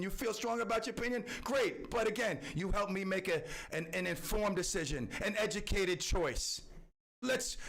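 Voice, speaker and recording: High, gravelly boastful radio host man. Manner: boastful, ranting, theatrical outbursts. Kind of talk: talk radio monologue.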